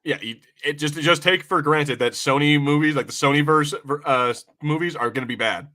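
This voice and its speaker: A man's voice: fairly high pitch, normal speed, pushed and bright. A man hosting a casual chat, opinionated and teasing, swearing freely as he comments along with viewers. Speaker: podcast host.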